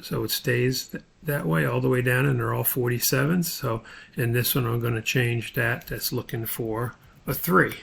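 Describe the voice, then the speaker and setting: A man with a gravelly, husky voice narrating an unscripted spreadsheet tutorial as an instructor, thinking aloud in a halting, step-by-step way. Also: medium pitch, fairly even intonation, fairly bright tone.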